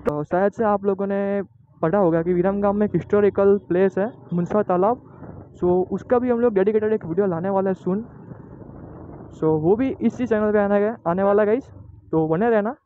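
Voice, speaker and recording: Young male motovlogger from Gujarat, chatty and excitable, high voice, muffled helmet-mic audio with wind. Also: normal speed. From Gujarat, India. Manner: chatty and excitable, calls viewers guys. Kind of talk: casual motovlog narration while riding. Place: outdoors on a moving motorbike.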